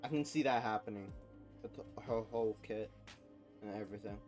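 A man's voice: fairly low pitch, brisk, a little mellow, soft background music. A young man hosting a gaming reaction video, talking casually in an informal, slangy, enthusiastic way.

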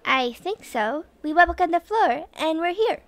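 A woman's voice: very high voice, expressive, normal speed, no echo. A woman voicing a small, earnest little girl in a cartoon dub, childlike and blunt. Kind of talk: animated web comic dub acting.

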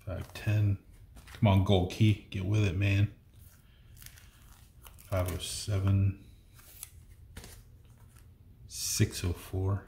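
A man's voice: low-pitched, flat delivery, extremely slow, vocal fry, long pauses, warm tone. A middle-aged man sorting through old comics at a table, reading out issue numbers and muttering comments as he goes. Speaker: comic collection unboxing host. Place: indoor room at a table. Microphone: fixed camera across the table. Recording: echoey room, faint noise.

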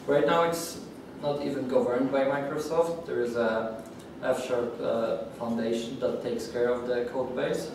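A man's voice: medium pitch, steady tone. Polish man talking in an echoey room. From Poland. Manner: halting, many fillers and restarts. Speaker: conference speaker. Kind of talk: technical talk at a user group. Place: meeting room with audience. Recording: echoey, distant room mic with noise.

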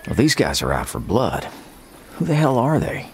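An actor playing a dry, reluctant but determined gunslinger in a Western video game, with a laconic drawl. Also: male voice, medium pitch, wildly swooping pitch, normal speed, slight background noise. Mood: wry. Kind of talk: video game cutscene acting.

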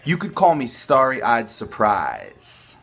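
A young man making a prank phone call from a room at home, asking questions in a deadpan, mock-earnest way. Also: mid-range voice, slow, creaky. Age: young adult. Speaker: prank caller, video host. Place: small furnished room at home, indoors.

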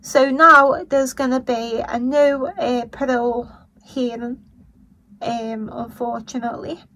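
A woman with a Liverpool accent and a croaky voice, giving blunt, indignant commentary on a news story as a vlogger. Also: fairly high pitch, steady tone, slow, bright, forceful tone, slight background noise, low-quality recording. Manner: blunt and indignant, swears when angry. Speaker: true-crime commentary vlogger. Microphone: voice-over over still images.

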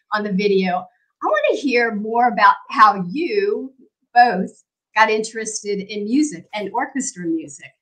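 A woman's voice: medium pitch, a bit bright, low-quality recording. A middle-aged woman hosting a live interview show over a video call, with friendly, upbeat introductions. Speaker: host.

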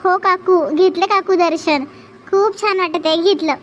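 A sweet young cartoon bride acted by a woman, high-voiced and devout. She speaks gently and reverently, with faint noise behind her. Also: steady tone, moderate pace, highs cut off. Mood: pleased.

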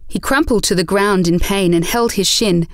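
A woman's voice: mid-range voice, normal speed, no room sound, clean studio sound. A woman narrating a scripted audio drama story.

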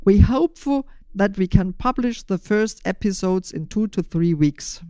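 Older german lady on a podcast, german accent, crisp clear voice, scripted sounding answers, dry sound. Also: mid-range voice, animated, measured pace, mellow, no echo. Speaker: podcast host and initiator.

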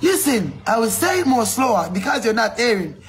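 An actor playing an excitable male visa applicant, speaking broken English, wailing and boasting. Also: very high voice, fairly quick, soft background music. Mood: indignant.

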